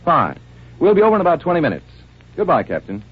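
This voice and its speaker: A man's voice: fairly high pitch, wildly swooping pitch, fast talker. A learned, inquisitive doctor-sleuth in a radio mystery. His mid-range voice moves expressively but stays composed, on a muffled, hissy old recording. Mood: brisk, composed. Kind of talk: old-time radio acting.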